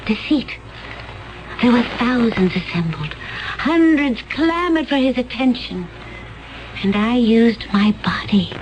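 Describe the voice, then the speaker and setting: An actress playing a cold, vicious assassin from another planet in a 1970s radio drama, intense and gloating, at times dropping to a hushed whisper. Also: female voice, fairly high pitch, lively intonation, slow, rough recording. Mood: haunted.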